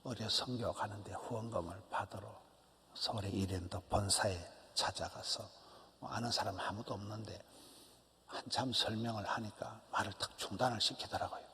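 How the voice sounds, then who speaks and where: A man's voice: lowish voice, moderate pace, a little hiss or hum. A man in his sixties with a Gyeongsang accent, a pastor giving an early-morning sermon in punchy repeated phrases that sometimes drop to a whisper. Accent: Gyeongsang Korean. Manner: animated, emphatic preaching with short repeated phrases and drops to a whisper. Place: church sanctuary. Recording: church hall reverb.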